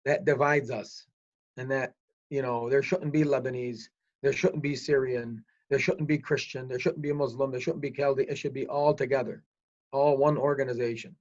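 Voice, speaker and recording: An older man speaking forcefully in a panel discussion, repeating himself to press his point. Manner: forceful, repetitive opinionated talk. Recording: muffled low-fidelity recording.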